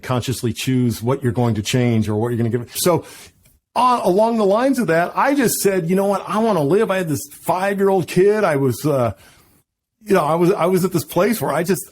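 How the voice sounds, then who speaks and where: Middle-aged male guest, quick and enthusiastic, casual interview delivery. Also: on the high side, a little mellow. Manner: fast, enthusiastic, many restarts. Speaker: podcast guest.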